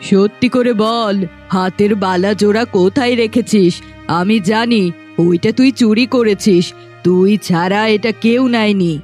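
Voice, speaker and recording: A woman's voice: mid-range voice, unhurried, a little mellow, lightly acted. Cartoon casting: cruel, commanding stepmother, played by a woman, middle register, scolds and accuses, light music behind. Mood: accusing. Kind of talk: cartoon fairy-tale acting.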